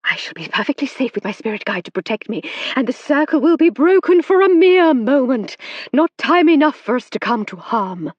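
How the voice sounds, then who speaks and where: A woman voicing a theatrical female spirit medium with a high, faraway, dramatic delivery, on a dry, slightly dull recording. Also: lively intonation, slow, few pauses, darkish tone, no room sound, exaggerated voice. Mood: commanding.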